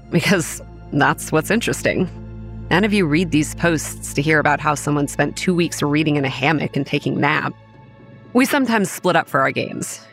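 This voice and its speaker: An actress playing a self-assured young woman who manages a campground, narrating a horror audio drama in a measured, matter-of-fact way. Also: low-pitched. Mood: matter-of-fact, ominous. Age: young adult. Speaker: narrator and lead character. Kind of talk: scripted first-person horror drama narration.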